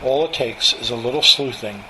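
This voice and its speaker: A man's voice: medium pitch, moderate pace, rough recording. A man in his seventies with an American accent, reading a scripted personal narration. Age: 70s. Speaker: narrator, old-time radio enthusiast. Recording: steady hum of room noise.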